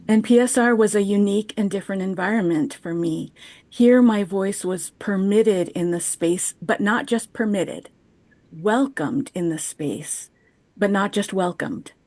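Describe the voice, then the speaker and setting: A woman in her fifties giving a measured, poetic chapel reflection over a video call. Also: mid-range voice, a little mellow, no echo. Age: 50s. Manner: measured, poetic, unhurried delivery. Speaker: chapel speaker. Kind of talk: reflective personal chapel talk, read aloud. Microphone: video call microphone.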